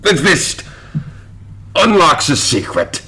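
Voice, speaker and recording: A haunted, rum-craving old pirate captain for a stage drama. He is gruff and husky, with slow, brooding speech that leaps wildly into barked commands and fearful outbursts. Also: on the high side, very animated, sharp tone, exaggerated voice. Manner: barks demands, broods fearfully. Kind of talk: theatrical stage pirate acting.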